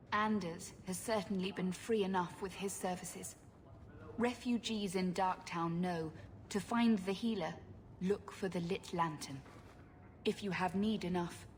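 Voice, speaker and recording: A woman's voice: on the low side, fairly even intonation, measured pace, slight background noise, lightly acted. An actress playing a proud, protective refugee woman in a video game, speaking in a stern, curt way. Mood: relenting.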